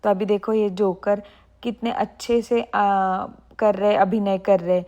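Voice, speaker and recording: A young woman teacher explaining idioms to children, slowly repeating sentences and asking her pupils questions. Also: medium pitch, little pitch movement, mellow, dull audio.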